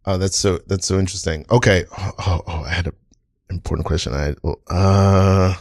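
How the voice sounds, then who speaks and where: A man hosting a casual comedy panel chat, talking in a halting, excitable way and often repeating himself. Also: low voice, no echo, studio quality. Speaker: improv podcast host.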